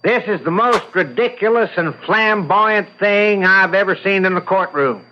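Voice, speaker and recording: An actor playing a skeptical, combative prosecutor in an old-time radio drama, formal and challenging. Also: male voice, sits high, normal speed, a little hiss or hum, natural voice. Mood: indignant. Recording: dull vintage radio broadcast recording.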